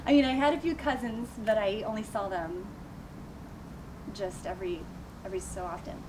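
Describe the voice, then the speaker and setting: A woman's voice: medium pitch, normal speed. Woman recounting her past, noisy recording. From United States. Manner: thoughtful, with frequent ums and light laughs. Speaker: teacher, interviewee. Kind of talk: casual interview answers. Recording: noisy background ambience.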